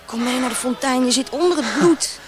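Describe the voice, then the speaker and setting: A woman's voice: high voice, a little fast, natural voice. A woman playing a spirited, caring young teenage girl in a radio drama, speaking in an urgent girlish way. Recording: noisy ambient sound effects behind.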